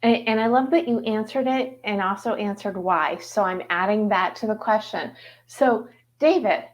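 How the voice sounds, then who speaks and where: A woman's voice: medium pitch, moderate pace. A woman moderating a panel of authors, enthusiastic, with frequent stumbling restarts.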